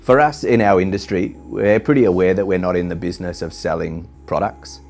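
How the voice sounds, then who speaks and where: A man giving a measured corporate testimonial in business language, like an executive being interviewed. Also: sits low, a little mellow. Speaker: hostel chain executive. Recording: background music under speech.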